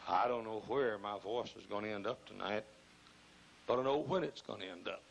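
An older man, hoarse and gravelly, preaching an emphatic sermon at a pulpit microphone in a large church, repeating phrases and rising into loud bursts. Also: fairly low pitch, moderate pace, slight background noise, poor audio. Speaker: pastor. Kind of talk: fiery sermon. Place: large church auditorium, choir behind.

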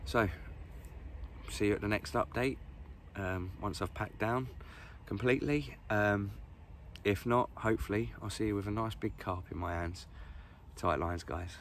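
A man's voice: on the low side, a little slow, a bit bright, slight background noise. A man in his forties with an English accent, chatting casually to camera by a lake, with lots of ums and restarts. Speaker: fishing vlogger. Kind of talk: casual outdoor vlog. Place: outdoors by a lake. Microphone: camera on a stand, sitting close.

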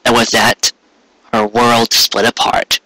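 A man's voice: medium pitch, completely flat delivery, slow pace, sharp tone, slight background noise, low-quality recording. A man reading a story aloud as an amateur narrator, in a theatrical, earnest storytelling style. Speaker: amateur fan fiction reader.

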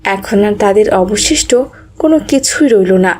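A woman's voice: medium pitch, animated, unhurried, a little mellow. A woman narrating a scripted moral story for children.

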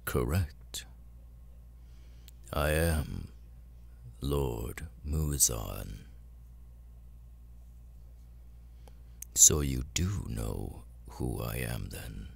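A man voicing a cold, arrogant immortal demon lord in an ASMR roleplay, speaking with deliberate, condescending menace. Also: expressive, full character voice. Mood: imperious. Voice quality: very deep, gravelly, husky. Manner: slow, deliberate, condescending, with long pauses.

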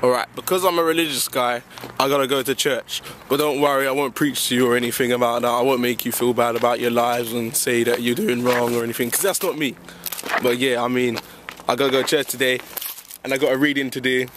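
A man's voice: medium pitch, fairly even intonation, quick pace, noisy background. A young man, vlogging casually and joking around, holding the camera at arm's length. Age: young adult. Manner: joking, teasing, rambling. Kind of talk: casual vlog chat. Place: outdoors in the cold, then a church hall. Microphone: handheld camera at arm's length.

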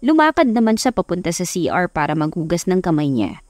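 A woman's voice: fairly low pitch, very animated, brisk, darkish tone, no room sound. Female audiobook narrator for romance fiction, mid-range voice, dry recording with music bed. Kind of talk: scripted romance story narration. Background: background music.

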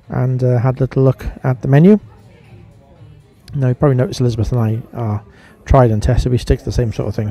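A man talks through a casual vlog review. His voice is mellow and relaxed, sitting at an ordinary middle pitch. He narrates informally, as a reviewer sharing his own opinions. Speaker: vlogger, restaurant reviewer.

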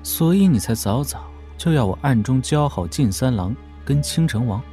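Man playing a young prince, mid-pitched, swooping intonation, colloquial and familiar, audio drama, light music behind. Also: animated, moderate pace, dark tone, lightly acted. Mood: puzzled. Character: informal, expressive young male prince leaning on his adviser. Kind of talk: Chinese wuxia audio drama acting.

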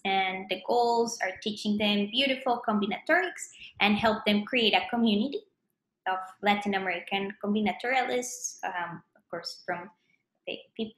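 A woman's voice: mid-range voice, fairly bright tone. A woman in her thirties from Colombia with a Colombian Spanish accent, a mathematician answering interview questions in a thoughtful way, often restarting her phrases. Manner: thoughtful, self-correcting, frequent restarts. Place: home room with plants. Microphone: webcam at desk distance. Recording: echoey room on a webcam.

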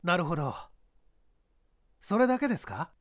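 A man voicing a polite, persistent detective who questions suspects in a measured way in an audiobook. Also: high-pitched, big pitch swings, voice pushed down. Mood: pressing. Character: composed, probing male detective questioning a suspect. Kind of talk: dramatised audiobook reading.

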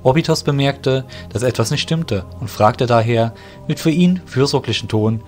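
Male audio drama narrator, medium pitch. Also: moderate pace, a little mellow, faint music behind.

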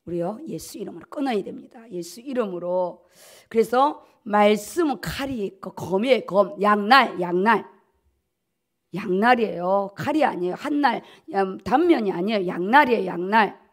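A woman's voice: medium pitch, expressive, moderate pace. A middle-aged woman pastor preaching a sermon at a pulpit, emphatic and repeating key words for stress. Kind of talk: sermon, preaching at a dawn service. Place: at a pulpit in a church auditorium. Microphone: podium gooseneck microphones.

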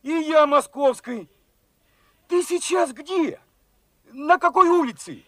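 Young soldier of the revolution, very high pitch, forceful ringing tone, fervent, colloquial, Soviet radio play. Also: male voice, takes it slowly, dry recording, heavily acted. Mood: eager, curious. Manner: excited, colloquial, eager questioning.

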